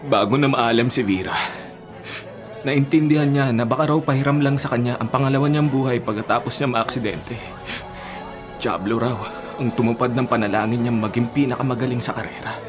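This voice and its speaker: A man's voice: medium pitch, a little fast, lightly acted. A man with a Filipino accent playing a somber, doubting friend in a radio drama, speaking earnestly. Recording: dramatic music underneath.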